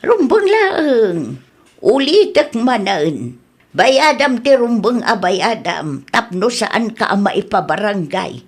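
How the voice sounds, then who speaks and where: A woman's voice: fairly high pitch, sing-song, slow, husky, exaggerated voice. A woman with a Philippine accent playing an admonishing, motherly older village aunt who preaches in a comedy radio drama. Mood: moralizing.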